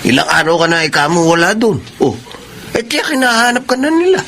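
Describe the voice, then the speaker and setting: Casting: a slippery, overly friendly Filipino family friend, middle-aged, high lively pitch, radio drama. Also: male voice, brisk, full character voice. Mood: chummy, sly. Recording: clear background noise.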